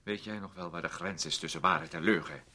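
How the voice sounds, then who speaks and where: A man's voice: sits low, fast talker, bright, forceful tone. An actor in a radio play playing a jealous, possessive man who is scornful, clinging and taunting. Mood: scornful, accusing. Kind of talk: Dutch radio play acting.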